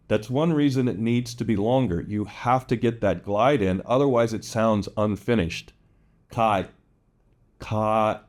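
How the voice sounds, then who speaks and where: A man teaching English vowel sounds, modeling each word slowly and repeating it with drawn-out, exaggerated vowels. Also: lowish voice.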